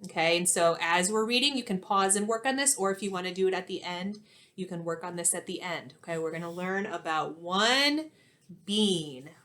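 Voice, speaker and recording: A woman in her thirties, an elementary teacher reading a storybook aloud and giving instructions in a clear, expressive way for young children. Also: fairly low pitch, normal speed. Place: small indoor classroom-like room.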